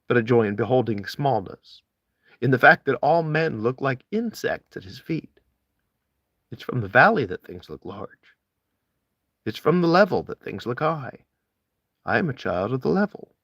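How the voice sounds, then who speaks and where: A man's voice: mid-range voice, fairly bright tone, dry recording, studio quality. A man reading a literary essay aloud with theatrical, emphatic phrasing. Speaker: amateur audiobook reader.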